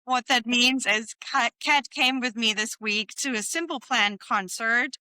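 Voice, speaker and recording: Bright, clear-voiced German-accented woman podcaster. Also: fairly high pitch, a little slow, no room sound, low-quality recording. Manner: gushing, slangy and sweary. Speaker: podcast host. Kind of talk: casual podcast chat.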